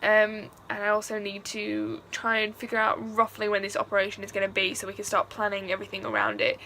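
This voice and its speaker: Young Mancunian woman with a gravelly voice. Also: medium pitch, fairly quick, pushed and bright, slight background noise. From England. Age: young adult. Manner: chatty, rambling, many fillers. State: stuffy-nosed after crying. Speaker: vlogger. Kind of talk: casual vlog talk to camera.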